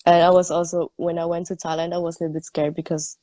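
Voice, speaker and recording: Woman, twenties, fairly low pitch, informal English, dry sound. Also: flat delivery, fast talker, dark tone, dry recording. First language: German. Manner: informal, searching for English words. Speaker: guest, tattoo artist. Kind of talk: casual interview chat.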